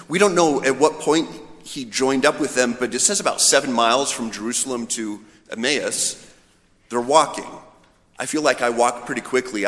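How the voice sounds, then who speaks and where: A man's voice: mid-range voice, fairly bright tone. Male priest, conversational homilist, storyteller's delivery, long church reverb around the voice. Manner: storytelling, voicing imagined dialogue. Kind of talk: informal, conversational sermon. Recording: echoey hall with long reverb.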